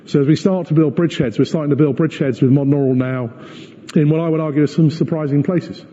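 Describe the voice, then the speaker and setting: A man giving a corporate presentation as a company executive, explaining and arguing his points in a measured way. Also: a little high, steady tone, warm tone. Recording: muffled echoey hall recording.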